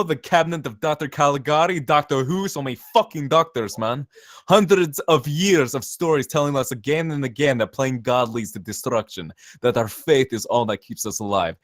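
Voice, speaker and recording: A man's voice: on the high side, no echo, clean studio sound, natural voice. A man at a screenplay table read playing a brash, cynical saboteur who talks in emphatic, profane slang. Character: cynical, brash zealot saboteur posing as safety inspector.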